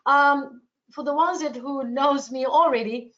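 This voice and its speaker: A woman's voice: high voice, measured pace, a bit bright, lo-fi sound. A woman from Turkey with a Turkish accent, giving an informal, enthusiastic travel sales presentation over a webinar.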